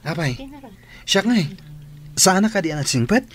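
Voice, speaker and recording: A man's voice: high voice, lively intonation, normal speed. A man playing a cocky, lazy student in a radio drama, talking in a flippant, boastful, pleading way. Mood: coaxing. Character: cocky, lazy male student, breezily self-assured. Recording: clear background noise.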